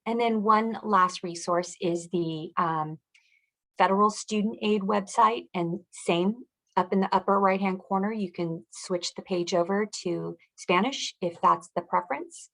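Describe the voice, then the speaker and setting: A woman's voice: medium pitch, unhurried, fairly bright tone, poor audio. A woman presenting as a school district director, walking listeners through web resources in an explanatory, instructional way.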